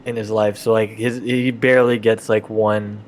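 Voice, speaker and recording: A man playing a tabletop role-playing game, describing things casually in a halting, hesitant way. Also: lowish voice, little pitch movement, a little hiss or hum.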